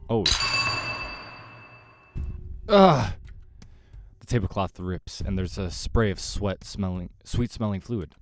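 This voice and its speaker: A man reading a visual novel aloud in an expressive, dramatized way, dropping in his own side comments. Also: low-pitched, moderate pace, hardly any pauses. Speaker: gaming content creator. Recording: music behind the voice.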